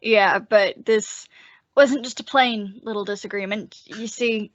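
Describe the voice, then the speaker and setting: A woman's voice: mid-range voice, steady tone, measured pace, dry recording, lightly acted. In a dry, dull-sounding radio comedy, a lovelorn young American husband played by a woman confides his troubles. The delivery is earnest and folksy, in the manner of 1940s radio. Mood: confiding.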